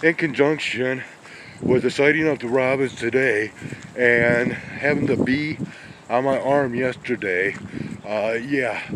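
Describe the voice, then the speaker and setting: A man talking casually while he walks small-town streets outdoors in the snow, with rambling, folksy exclamations into a handheld phone. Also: mid-range voice. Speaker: vlogger on a weather walk. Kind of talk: casual walking commentary. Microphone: handheld phone camera. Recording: wind noise on the mic.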